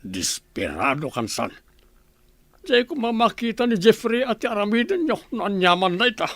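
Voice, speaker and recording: A man's voice: sits high, big pitch swings, normal speed, fairly bright tone, no room sound, exaggerated voice. A man with an Ilocano accent playing a wary, questioning village father in a radio drama.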